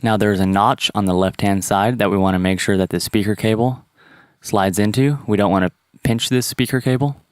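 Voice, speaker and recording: A man's voice: fairly low pitch, fairly even intonation, a little fast, clean tone, no room sound, clean studio sound. A man narrating a step-by-step repair tutorial in a plain, instructional manner. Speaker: how-to presenter.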